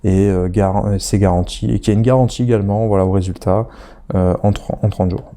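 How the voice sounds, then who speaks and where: A man's voice: fairly low pitch, fairly even intonation, fairly quick, mellow. A man with a head cold talking through an online course tutorial step by step, chatty and hesitant with many fillers. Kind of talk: screen-recorded tutorial walkthrough.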